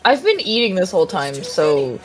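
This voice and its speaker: A woman's voice: fairly low pitch, big pitch swings, moderate pace, music in the background, low-quality recording. A woman giving casual, joking reaction commentary as a cast member.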